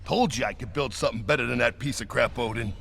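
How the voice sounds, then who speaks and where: Rough folksy engineer, gravelly, sharp tone, faint ambience. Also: male voice, medium pitch, quick pace, slight background noise. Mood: proud. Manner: folksy, proud.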